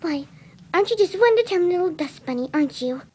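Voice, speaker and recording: A woman voicing a gentle, timid winged pony who dotes on animals, soft, sweet and motherly, in a fan cartoon story reading. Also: extremely high pitch, lively intonation, quick pace. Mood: gentle, doting.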